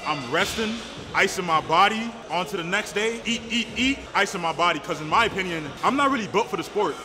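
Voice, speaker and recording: A twenty-five-year-old man and powerlifter chatting casually in a gym between lifts, loud and excited. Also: sits high, fairly quick. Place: large indoor gym. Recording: music playing behind. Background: gym music.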